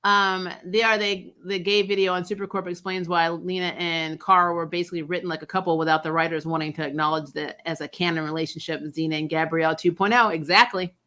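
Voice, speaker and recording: A woman's voice: on the low side, level tone, quick pace, hardly any pauses, bright, forceful tone. A woman hosting a recap show, giving casual, opinionated fan commentary in a blunt, conversational way.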